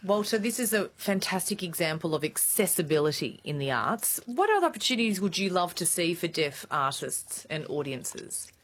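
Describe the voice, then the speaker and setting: A woman co-hosts in a lowish voice, warmly effusive in her praise. A faint hiss of background noise sits under her voice. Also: very animated, moderate pace, hardly any pauses. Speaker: radio co-host. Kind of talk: interview hosting.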